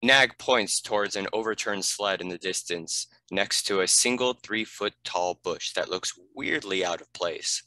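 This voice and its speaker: A man's voice: sits low, measured pace, few pauses, sharp tone, no echo, poor audio. A man giving scripted narration, reading out the stage directions of a screenplay.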